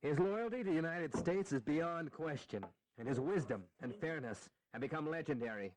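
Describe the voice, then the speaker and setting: An actor playing a confident, scheming eighteenth-century statesman with a measured, precise, courteous manner in a historical audio drama. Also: fairly high pitch, animated, a crowd in the background, natural voice, poor audio. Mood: assured.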